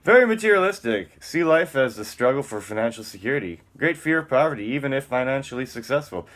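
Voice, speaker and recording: A man's voice: mid-range voice, big pitch swings, quick pace, a bit bright. A man giving a dramatic, theatrical spoken-word reading, moving between emphatic lines and muttered casual asides.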